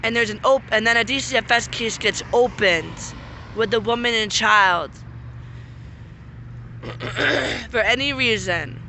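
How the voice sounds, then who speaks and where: A man who is losing his voice and getting sick, making an earnest, repetitive spoken appeal and often clearing his throat. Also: very high voice, slow, long pauses, sharp tone, slight background noise. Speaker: vlogger making a public appeal. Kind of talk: unscripted appeal to camera.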